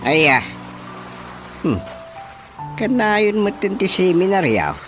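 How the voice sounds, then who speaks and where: A man's voice: sits high, sing-song, slow, a bit bright, heavily acted. A man with a Filipino accent acting an easygoing village husband in a radio comedy serial. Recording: music behind the voice.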